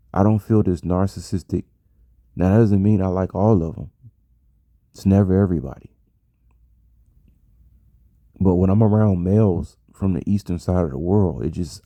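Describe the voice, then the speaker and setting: An American man talks casually to his followers in a deep voice with a gravelly fry. He rambles and circles back on his phrases for emphasis. The sound is dry and close. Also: warm tone, no room sound. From United States. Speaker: vlogger. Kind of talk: casual personal monologue to an audience.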